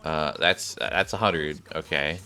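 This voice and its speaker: Deep-voiced man streaming a game. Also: takes it slowly, sharp tone, smooth, faint music behind. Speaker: streamer playing and commenting on a mobile game. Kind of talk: casual gameplay commentary with read-aloud dialogue.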